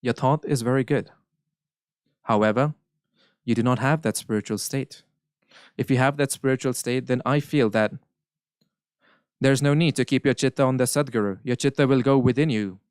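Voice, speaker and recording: Smooth-voiced man interpreting. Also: medium pitch, a little mellow, no room sound, clean studio sound. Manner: measured, earnest, occasional self-corrections. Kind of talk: live interpretation of a spiritual Q&A.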